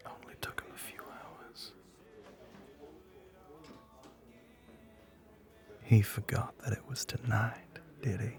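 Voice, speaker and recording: A man playing a smug, flirty, middle-aged bartender with a Southern US accent in an intimate ASMR roleplay, smooth-talking and often dropping toward a whisper. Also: low voice, a little mellow, full character voice. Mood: smug, seductive. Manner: drawling, smooth-talking, pet names, often breathy near-whisper.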